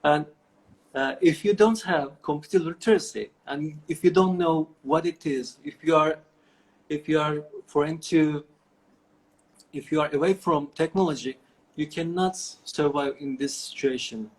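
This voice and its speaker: A man's voice: a little high, normal speed, poor audio. A middle-aged man with a Turkish accent, answering interview questions over a video call, with many fillers and restarts. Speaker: guest. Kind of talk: online interview.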